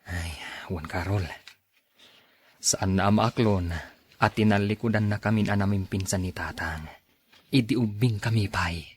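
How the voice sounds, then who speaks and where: A man playing a dutiful, kind-hearted grown son in a radio drama, earnest and coaxing in a husky voice. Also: on the low side, measured pace, long pauses, a little hiss or hum, close to an everyday voice. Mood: troubled. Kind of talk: Ilocano radio drama acting.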